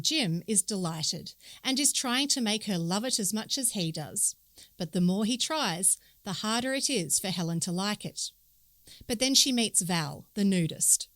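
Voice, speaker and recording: A woman's voice: medium pitch, a bit bright, dry recording. A woman reading scripted narration from a newspaper feature. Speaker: newspaper article reader.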